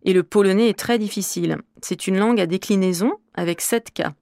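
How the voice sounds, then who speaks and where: A woman from Normandy, a French teacher, answering interview questions in a measured, clear, explanatory way. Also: mid-range voice, darkish tone, no room sound, clean studio sound. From Normandy, France.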